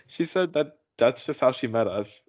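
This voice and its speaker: A man's voice: medium pitch, wildly swooping pitch, a little slow, darkish tone, husky. A young man hosting a casual podcast over a voice call, teasing and slangy, prone to goofy guffawing. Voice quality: big goofy guffawing laugh. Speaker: podcast host. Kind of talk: casual joking podcast chat. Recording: dull, muffled voice-call sound.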